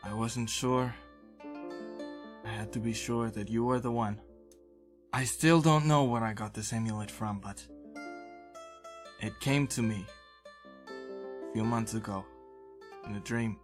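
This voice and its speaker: Tabletop game role: ancient elf scholar played by a man, earnest and formal, slow measured delivery, music behind. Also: on the low side, close to an everyday voice. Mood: awed, hushed. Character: earnest, scholarly 176-year-old male elf.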